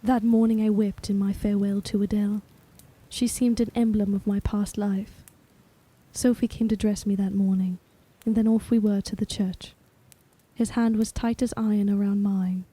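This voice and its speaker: A woman playing a wistful, sombre young bride narrating her story in an audio drama, measured and literary. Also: medium pitch, flat delivery, dark tone, husky, lightly acted, low-quality recording. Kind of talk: scripted first-person narration in audio drama.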